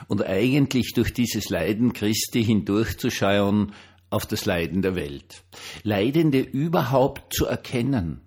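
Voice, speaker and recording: A man's voice: fairly low pitch, animated, measured pace, darkish tone, husky. An older man with a Viennese Austrian accent, speaking as a pastor in an informal, hesitant but emphatic personal monologue. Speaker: pastor and online diary host.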